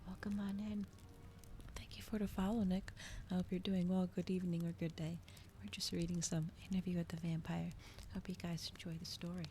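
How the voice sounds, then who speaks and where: A woman doing a soft, whispered ASMR reading as a streamer, slow and breathy, in a hushed manner. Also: lowish voice, level tone, a little hiss or hum. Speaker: streamer host reading aloud.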